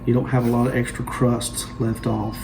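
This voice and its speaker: A man gives relaxed, folksy cooking instructions in a mid-range voice. He sounds like a home cooking host. A clear layer of background noise sits behind his voice. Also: medium pitch, moderate pace.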